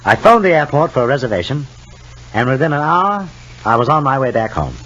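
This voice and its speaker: A man's voice: mid-range voice, sing-song, brisk, a bit bright. Fond father, muffled old radio soap. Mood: fond, gentle. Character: undemonstrative but proud, affectionate father of a young boy. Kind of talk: 1950s radio soap opera acting.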